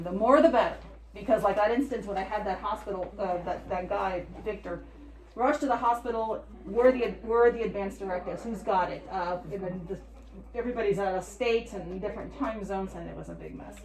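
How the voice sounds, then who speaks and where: A woman's voice: medium pitch, darkish tone. A woman giving an informal presentation, talking in a conversational, rambling way. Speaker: hospice care representative giving a presentation. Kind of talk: informal presentation with group discussion. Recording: echoey room.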